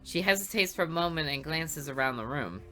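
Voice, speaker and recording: A woman's voice: sits low, expressive, fairly quick, fairly bright tone, soft background music. A woman reading a visual novel aloud for a let's play, with playful asides between the lines.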